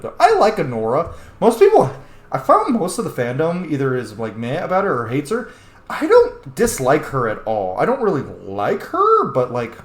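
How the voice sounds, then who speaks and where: Animated male gamer in an echoey room. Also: medium pitch. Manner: chatty, informal. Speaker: gamer, roleplay series host. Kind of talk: casual game commentary with in-character dialogue read aloud.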